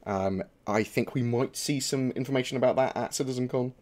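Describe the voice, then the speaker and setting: A man's voice: medium pitch, fairly quick, darkish tone. A young man chatting casually on a live stream, answering viewer questions with lots of fillers, restarts and swearing.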